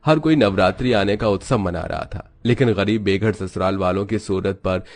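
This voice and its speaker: A man's voice: sits low, normal speed. A man narrating a scripted moral story as its storyteller.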